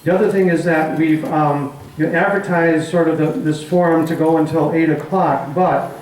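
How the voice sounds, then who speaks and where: A middle-aged man organizing a community forum, making announcements in a halting way full of fillers. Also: a little high, steady tone, a little mellow. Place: community hall meeting room. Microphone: handheld mic, far camera. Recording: echoey hall from far off.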